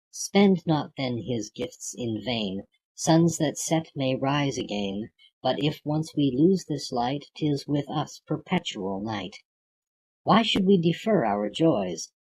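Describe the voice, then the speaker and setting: A reader of unclear gender reciting classic poetry for an audiobook in a measured, declamatory way. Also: mid-range voice, creaky, a little mellow, slightly rough, rough recording. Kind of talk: reading classic poetry aloud.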